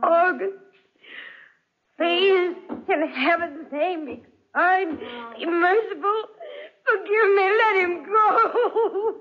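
An actress playing an anguished, terrified wife haunted by guilt in a melodramatic 1940s radio drama. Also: female voice, extremely high pitch, very slow, heavily acted. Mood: tearful begging. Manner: melodramatic, pleading, breathless delivery. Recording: muffled, dull 1940s radio recording.

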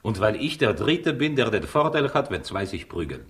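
Smug greedy soviet agent, really deep voice, lectures like in a 60s radio crime drama. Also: male voice, sing-song, moderate pace, fairly bright tone. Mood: smug, boastful. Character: cynical, scheming Soviet agent, male, greedy and self-assured. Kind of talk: 1960s German-language radio crime drama acting.

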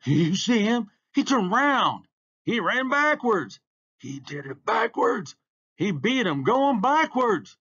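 A man voicing excitable street kids heckling and exclaiming in a storybook. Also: high voice, slow pace, husky, muffled recording, voice pushed up, heavily acted. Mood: amazed, excited. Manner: loud, exclaiming, emphatic.

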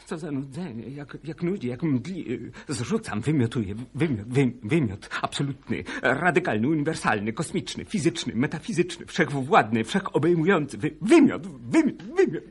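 An actor playing a neurotic, self-loathing bourgeois professor in a grotesque radio play, stammering and repeating broken syllables as if retching. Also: male voice, on the high side, very animated, moderate pace, hardly any pauses, husky, heavily acted. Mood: nauseated, frenzied.

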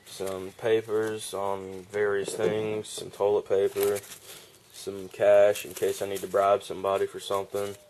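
Laid-back young man, flat voice. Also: on the low side, a little slow, slight background noise, rough recording. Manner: laid-back, rambling with fillers. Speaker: fishing vlogger. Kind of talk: casual show-and-tell vlog. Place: outdoor wooden porch.

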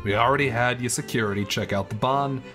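Casting: scheming 1920s mob lieutenant male actor, mid-pitched, careful and deferential, radio play. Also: quick pace, music in the background, close to an everyday voice. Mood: guarded. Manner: deferential, hedging. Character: cautious, scheming male gangster right-hand man. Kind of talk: 1920s gangster audio drama.

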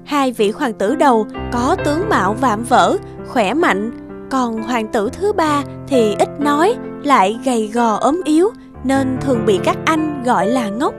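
A woman's voice: sits high, expressive, unhurried, over music. A woman reading scripted fairy-tale narration as the narrator.

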